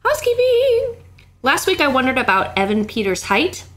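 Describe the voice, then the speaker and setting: A woman's voice: high-pitched, wildly swooping pitch, normal speed. A woman in her twenties co-hosting a podcast, chatting casually, giggly and playful. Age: 20s. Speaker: podcast co-host. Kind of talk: casual chat.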